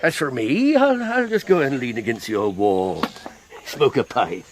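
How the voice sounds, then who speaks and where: An actor playing a sly, commanding pirate ringleader in a radio drama, drawling and taunting. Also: male voice, mid-range voice, animated, measured pace, slight background noise, lightly acted. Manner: drawling, wheedling, rhetorical taunts.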